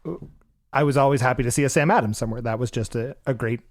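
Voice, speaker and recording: A man co-hosting a podcast over a video call, joking and laughing easily. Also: medium pitch. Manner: jokey, quick to laugh. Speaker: podcast co-host. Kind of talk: casual podcast chat.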